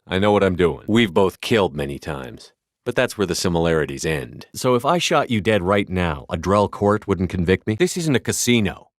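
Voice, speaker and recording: Tough space squad leader in a game, low male voice, terse and firm, really dry close recording. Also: sing-song, normal speed, no echo. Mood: controlled, determined. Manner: terse, firm, assertive delivery. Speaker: video game voice actor. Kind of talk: cinematic game dialogue lines.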